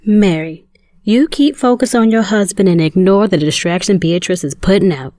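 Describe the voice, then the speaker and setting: A woman acting an excitable, supportive, newly engaged sister in an audio drama, chatty and eager. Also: medium pitch, normal speed, dry recording, clean studio sound, close to an everyday voice.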